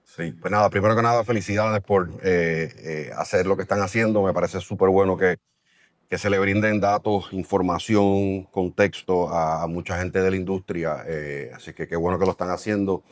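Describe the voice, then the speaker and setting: A man's voice: deep voice, steady tone, normal speed, no echo, clean studio sound. A middle-aged Puerto Rican man with a Puerto Rican Spanish accent, a developer answering interview questions in a thoughtful way, with many fillers and restarts. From Puerto Rico. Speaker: real estate developer, company president.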